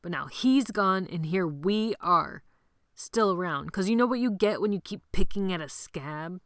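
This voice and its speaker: A woman voicing a brash, bossy teenage boy who leads a gang of boys, loud and rallying in an audiobook. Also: on the low side, expressive, unhurried, no room sound, studio quality. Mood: defiant. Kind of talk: audiobook character voice.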